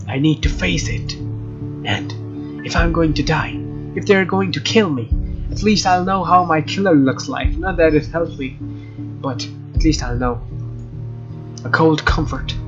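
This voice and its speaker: A man's voice: on the high side, unhurried, long pauses, lo-fi sound. A man narrating a let's play, reading game text aloud and adding informal, joking asides. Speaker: let's player. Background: game music.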